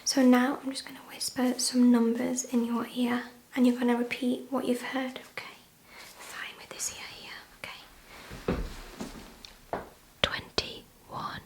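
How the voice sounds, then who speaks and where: A woman plays a nurse in an ASMR exam roleplay, slipping between soft speech and whisper. Her voice is high and breathy. She guides the listener step by step in a gentle, reassuring way. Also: completely flat delivery, measured pace. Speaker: ASMR creator playing a nurse.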